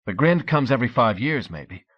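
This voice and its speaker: A man's voice: medium pitch, normal speed, dull audio, pitched above the performer's own voice. A man voicing a cheerful, eager young Norse man with a thick accent. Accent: Norse-accented English. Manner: excited, eager.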